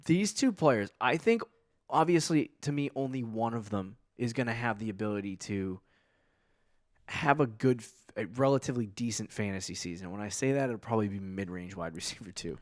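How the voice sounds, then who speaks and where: A man's voice: fairly low pitch, animated, brisk, dry recording, clean studio sound. A man from New England co-hosting a casual fantasy football podcast, loose and laughing, often trailing off and restarting. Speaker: podcast co-host. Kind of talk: casual sports chat.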